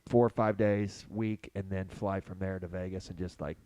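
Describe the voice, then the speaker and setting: A man's voice: low-pitched, steady tone, moderate pace, mellow, no room sound, low-quality recording. A man hosting a casual sports podcast, talking in an enthusiastic, chatty way. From United States. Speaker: podcast host.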